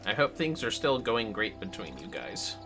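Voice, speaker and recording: A man's voice: lowish voice, very animated, moderate pace, music in the background. A man chatting casually and a bit ramblingly as he livestreams a game, swearing freely. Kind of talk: casual chat while gaming.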